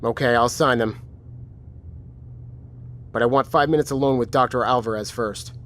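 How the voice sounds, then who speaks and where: A man's voice: medium pitch, steady tone, normal speed, a bit bright, slight background noise, close to an everyday voice. A man playing a grave, controlled commanding lieutenant in an audio drama, speaking tersely and with authority. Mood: controlled, skeptical.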